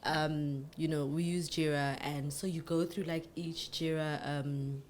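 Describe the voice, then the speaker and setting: A woman's voice: low-pitched, steady tone, measured pace, darkish tone, a little hiss or hum. A young woman co-hosting a podcast, talking in a chatty, rambling way with many restarts. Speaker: podcast co-host. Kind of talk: casual chat.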